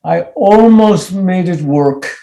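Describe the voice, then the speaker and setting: An actor in a stage play reading, playing an earnest, stubbornly hopeful immigrant man who speaks in a halting, deliberate way. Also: high voice, creaky, warm tone, heavily acted. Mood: regretful.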